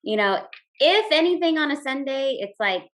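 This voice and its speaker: A woman who is a teacher and blogger, a podcast guest speaking in a relaxed, upbeat way, full of "like" and "you know". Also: sits high, normal speed. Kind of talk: casual interview chat.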